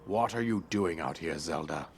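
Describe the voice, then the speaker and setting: A man's voice: sits low, moderate pace, creaky, noisy background. An actor playing a stern king and father who sharply scolds his daughter over her duty in a game cutscene. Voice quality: stern, commanding. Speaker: game character.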